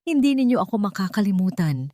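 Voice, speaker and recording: A woman's voice: mid-range voice, big pitch swings, fast talker, warm tone, no room sound, clean studio sound, voice pushed up, natural voice. Woman acting a betrayed, bitterly seething young woman. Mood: cold, accusing. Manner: hard, bitter, restrained anger.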